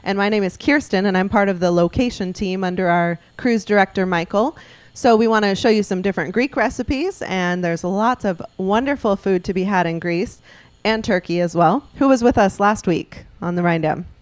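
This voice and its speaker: A woman's voice: medium pitch, moderate pace, few pauses, dry recording. A young woman from the United States hosting a live cooking demonstration on a cruise ship, speaking into a handheld microphone and prompting the audience and chef with questions. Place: ship's show kitchen before an audience.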